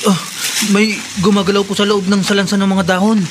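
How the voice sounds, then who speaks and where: A polite and helpful young man, acted by a man in a radio drama. His voice is high for a man and deferential, slightly hesitant, over clear background noise. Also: little pitch movement, brisk, natural voice. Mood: wary, uneasy. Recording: clearly noisy ambient background.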